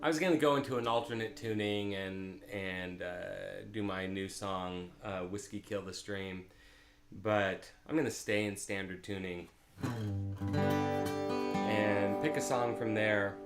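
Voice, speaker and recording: A man's voice: fairly low pitch, unhurried. A man hosting a live music stream, chatting easily and rambling with his viewers between songs, picked up by a mic a few feet away. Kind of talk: casual chat between songs. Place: home room in California. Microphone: open-air mic three or four feet away. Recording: roomy echo from a distant open mic.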